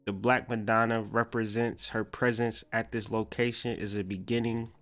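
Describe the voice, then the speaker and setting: A man with a fairly low voice hosts a podcast over soft music. He moves between reading material aloud and loose, slangy commentary. He often repeats a phrase to drive it home. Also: little pitch movement, normal speed. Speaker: podcast host. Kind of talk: casual spoken commentary mixed with reading aloud from sources.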